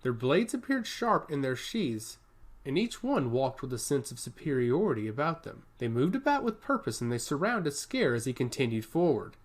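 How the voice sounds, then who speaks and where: A man's voice: mid-range voice, sing-song, normal speed. A man reading a scripted fantasy story aloud as its narrator. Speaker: audiobook narrator.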